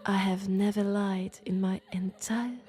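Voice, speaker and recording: A woman with a French accent playing an elegant, condescending vampire scholar in an intimate roleplay audio drama, speaking deliberately and seductively. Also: medium pitch, steady tone, close to an everyday voice. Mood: haughty, smooth. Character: elegant, sophisticated, coolly curious female vampire scholar. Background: faint dark ambient music.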